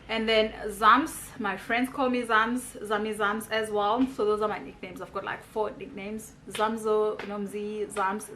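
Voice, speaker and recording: Woman, South African accent, 30s, chatty vlog Q&A, bright tone, faint background noise. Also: a little high. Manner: chatty, reads out questions then answers them. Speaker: YouTuber. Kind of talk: casual get-to-know-me vlog.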